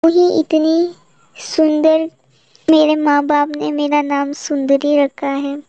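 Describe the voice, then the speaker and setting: A woman puts on a vain, pretty young female crow from a cartoon. The voice is high and slow, sweetly demanding and coquettish. The audio is dull. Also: completely flat delivery, warm tone. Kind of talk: Hindi cartoon story dialogue. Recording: muffled lo-fi cartoon audio with echo.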